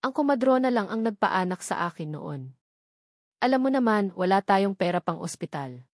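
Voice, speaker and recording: A woman's voice: medium pitch, very animated, normal speed, darkish tone, no echo, clean studio sound. A woman narrator voicing an older Filipino mother from the provinces, affectionate but guarded and questioning, in an audio story. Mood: guarded, concerned.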